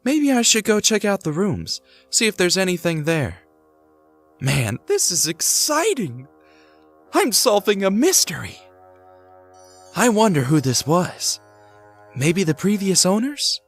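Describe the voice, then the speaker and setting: A man playing a devoted young husband and father in an audio drama, gentle, curious and theatrical. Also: high-pitched, big pitch swings, measured pace, long pauses, faint music behind, heavily acted. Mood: curious, excited. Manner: reassuring, theatrical, thinks aloud to himself. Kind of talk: hobby audio drama acting.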